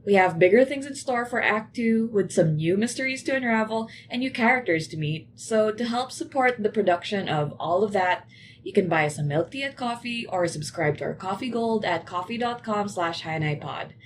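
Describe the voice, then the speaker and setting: A woman's voice: medium pitch, animated, normal speed. Woman reading podcast credits, faint noise behind. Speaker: podcast co-creator and producer. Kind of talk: scripted podcast outro announcements.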